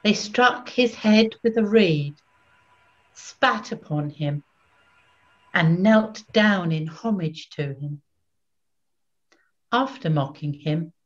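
Slow, measured older woman narrating scripture. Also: mid-range voice. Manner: measured, phrase-by-phrase reading. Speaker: narrator, Evangelist. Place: small room at home. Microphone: computer video call. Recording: dull lo-fi video-call audio.

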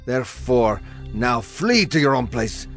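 A man's voice: mid-range voice, sing-song, unhurried, fairly bright tone, soft background music. An actor playing an angry, frustrated king in a scripture drama, imperious and indignant. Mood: angry, dismissive.

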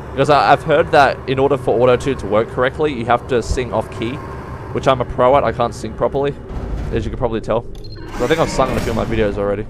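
A young man giving casual gameplay commentary, excitable and prone to sudden yelps, his throat slightly dry and hoarse. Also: mid-range voice. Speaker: let's play gamer. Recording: voice over game music.

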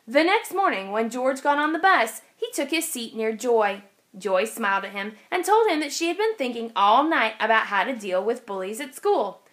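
High, animated woman reading a storybook. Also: normal speed. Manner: expressive, clearly phrased for children. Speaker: teacher reading aloud. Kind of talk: children's storybook read-aloud. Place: indoors, over an open picture book.

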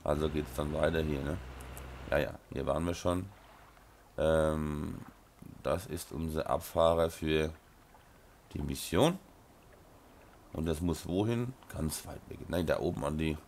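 A man with a Rhine-Hessian German accent, hoarse from a sore throat and blocked nose, casually commenting on his farming game and mumbling with many ums. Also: rumbling low, a little mellow, slight background noise. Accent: Rhine-Hessian German dialect. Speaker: let's play host.

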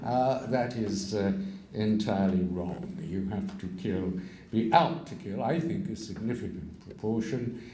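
Older guy giving a keynote at a lectern, low mellow voice, echoey hall. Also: a little hiss or hum. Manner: measured, with pauses and wry asides. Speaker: keynote speaker. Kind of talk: public lecture. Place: stage of a large hall. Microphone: stand mic at a lectern.

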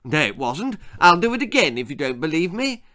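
A man voicing a spoilt, excitable little girl in a storybook, insistent and childish, with an English accent. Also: a little high, brisk, voice pushed up. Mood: excited.

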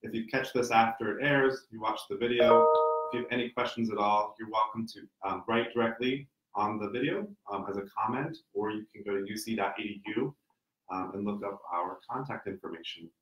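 Man from Ohio, admissions counselor, steady explainer, lowish voice, roomy echo. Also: fairly quick, slight background noise. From Cincinnati, Ohio. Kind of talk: informational panel talk. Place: meeting room with branded backdrop. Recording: echoey conference room.

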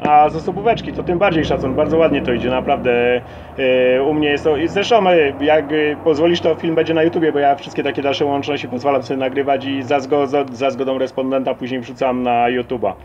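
A man's voice: medium pitch, fairly even intonation, quick pace. A man chatting casually as an amateur CB radio operator during a radio contact. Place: at a CB radio set. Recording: dull lo-fi audio, faint radio noise.

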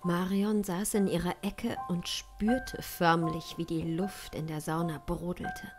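A young woman improvising story narration in a hushed, measured, suspenseful way, close on a studio microphone. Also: lowish voice, steady tone, music in the background. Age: young adult. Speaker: improv audio drama narrator. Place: small home studio, indoors.

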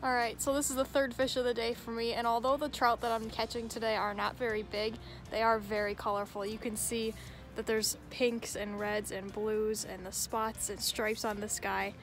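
Young girl doing a casual fishing vlog outside, voice a bit high, talking to her camera. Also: level tone, normal speed, faint music behind. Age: young adult. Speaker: vlogger and angler. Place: outdoors at a creek bank. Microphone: handheld camera at arm's length.

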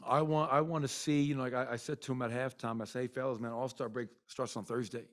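Middle-aged male coach, postgame presser, candid and rambling, mid-pitched voice, desk mic in an arena press room. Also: steady tone, fast talker. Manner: candid, rambling with many fillers. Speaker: basketball head coach. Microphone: desk mic in front.

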